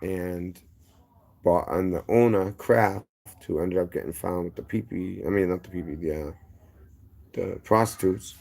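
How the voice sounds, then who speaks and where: A man's voice: deep voice, measured pace, vocal fry, darkish tone. A man with a strong Boston accent, from the city's inner neighborhoods, telling his story as a guest in a rambling, tangent-filled way that keeps circling back and correcting itself. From inner-city Boston. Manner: rambling, drifting between tangents and correcting himself. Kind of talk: casual storytelling.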